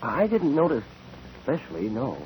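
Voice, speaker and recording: A frank young suitor played by a man answers with sheepish hesitation. His voice is medium in pitch and candid in manner. It is old radio acting on a lightly noisy recording. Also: sing-song, moderate pace, a little mellow, close to an everyday voice.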